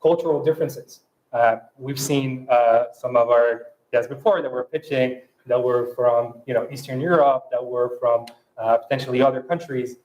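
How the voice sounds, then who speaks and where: A man's voice: medium pitch, steady tone, darkish tone, roomy sound, dull audio. French-accented man with many restarts. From France. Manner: halting, many restarts and fillers. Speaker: panel guest. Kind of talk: fireside chat on stage.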